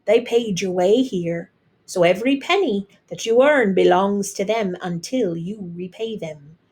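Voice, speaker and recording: A woman's voice: medium pitch, unhurried, darkish tone, lightly acted. A woman voicing a worldly-wise, scoffing Irish immigrant housemaid in a storybook, emphatic and knowing. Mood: scornful, knowing.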